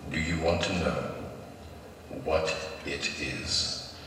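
An actor playing a calm, grave mentor who speaks in slow, measured, solemn tones, heard as a film clip over hall speakers. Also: male voice, very deep voice, distant mic, slight background noise, full character voice. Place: large conference hall.

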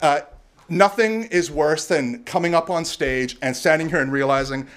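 A middle-aged man from Canada giving a loud, gregarious live talk to a crowd in a bar, joking and swearing as he goes. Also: a little high, moderate pace. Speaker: conference speaker. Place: bar venue before live audience.

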